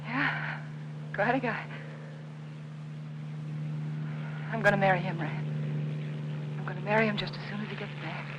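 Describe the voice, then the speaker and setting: An actress playing a spirited, romantic young nurse in the style of a 1940s film drama. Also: female voice, medium pitch, normal speed, lo-fi sound, lightly acted. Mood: wistful, resolute. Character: warm, spirited young female nurse, quick-witted and romantic. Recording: noisy vintage film soundtrack.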